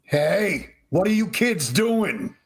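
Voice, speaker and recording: A man playing a gruff, suspicious working-class father in a vintage-style radio drama. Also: sits high, big pitch swings, slow pace, full character voice. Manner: gruff, curt.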